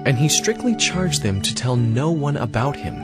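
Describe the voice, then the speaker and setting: A man's voice: mid-range voice, very animated, moderate pace. A man giving scripted, dramatic narration of scripture for an audio drama. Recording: music behind the narration.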